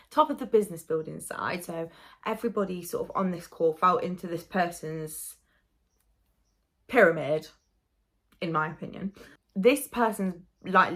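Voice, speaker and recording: A woman's voice: mid-range voice, fairly bright tone. A woman vlogger with a stuffy, bunged-up nose gives frank, chatty commentary on clips, full of fillers. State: stuffy nose from hay fever. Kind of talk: casual commentary reacting to clips.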